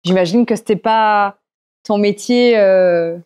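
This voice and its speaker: A woman's voice: medium pitch, slow pace, a little mellow, smooth. A woman hosting an interview podcast, asking short prompting questions in a casual way. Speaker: podcast host.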